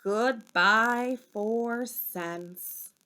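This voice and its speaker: A woman reading a children's story aloud expressively, with dramatic pauses and emphasis. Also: fairly high pitch, bright, forceful tone, clean tone. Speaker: storybook reader.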